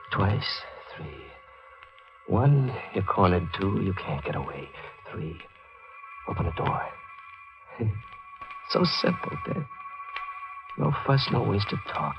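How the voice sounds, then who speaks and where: A man's voice: low-pitched, creaky, full character voice. An actor playing a paranoid, fatalistic newlywed man in a 1940s radio melodrama, agitated and brooding. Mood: dazed dread. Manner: agitated, brooding, repeating words. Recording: dull vintage 1940s radio recording. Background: music.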